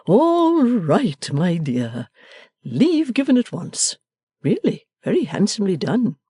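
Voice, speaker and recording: A woman reading the part of a timid, fond little father who speaks gently and hesitantly, rambling at times. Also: medium pitch, wildly swooping pitch, slow pace, slightly rough, no room sound, clean studio sound, pitched above the performer's own voice, heavily acted.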